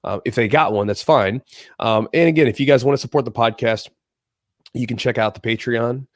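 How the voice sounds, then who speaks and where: A man's voice: medium pitch, fairly quick, no echo, studio quality. A man hosting a podcast in a casual, rambling, off-the-cuff way, with a slightly congested, nasal voice that often repeats itself. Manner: rambling, conversational, repeats himself. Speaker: podcast host.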